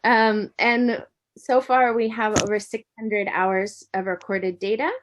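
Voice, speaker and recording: A woman's voice: medium pitch, flat delivery, unhurried, background noise. Friendly American woman lecturer, middle-aged, presenting online with many ums and restarts. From United States. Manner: friendly, with frequent ums and self-corrections. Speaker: university lecturer.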